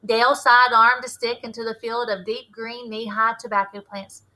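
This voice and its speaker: A woman narrating a children's novel aloud as a teacher would, in an expressive storybook style. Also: a little high, normal speed, rough recording.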